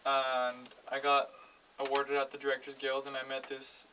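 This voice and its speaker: A man's voice: medium pitch, monotone, moderate pace. A man who edits and directs films, answering interview questions in a hesitant way with many fillers. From United States. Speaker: film editor and director guest. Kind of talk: casual interview chat. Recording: distant mic with clear noise.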